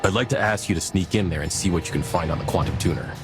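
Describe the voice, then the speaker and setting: An actor playing a composed commander in a video game, briefing in a measured, assured way. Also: male voice, low-pitched, loud music behind the voice, natural voice. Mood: composed, businesslike. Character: composed male mission commander giving a briefing. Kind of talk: scripted video game voice acting.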